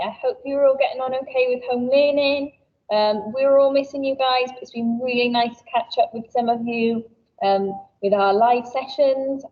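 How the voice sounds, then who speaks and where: A woman's voice: on the high side, darkish tone. A woman teacher giving a recorded school assembly, cheerful and encouraging as she talks to children. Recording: dull lo-fi sound, a bit distant.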